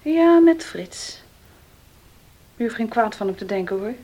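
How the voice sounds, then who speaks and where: A defiant young working woman in a radio drama. Her voice sits somewhat high. She answers in a tense, curt manner and keeps dodging the question. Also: expressive, a little slow, dark tone. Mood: defiant, evasive. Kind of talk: Dutch radio play acting.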